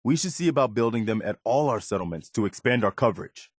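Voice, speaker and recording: A man's voice: medium pitch, lively intonation, fast, dry recording, studio quality, close to an everyday voice. A man playing an earnest, idealistic and loyal militia soldier in a video game, speaking plainly and conversationally. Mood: earnest, thoughtful. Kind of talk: video game companion dialogue, naturalistic acting.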